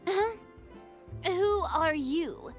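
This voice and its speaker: A theatrical young lady in an anime game. Her voice is very high and slow-paced, airy and flustered, with faint music behind it. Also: expressive, full character voice. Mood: surprised.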